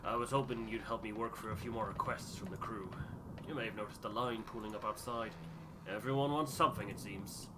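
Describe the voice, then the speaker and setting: A man's voice: lowish voice, fairly quick, noisy background, close to an everyday voice. Man playing a dry, businesslike ship's captain. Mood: dismissive, businesslike. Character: busy ship's captain, dismissive, brisk, authoritative. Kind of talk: character voice acting in a game playthrough.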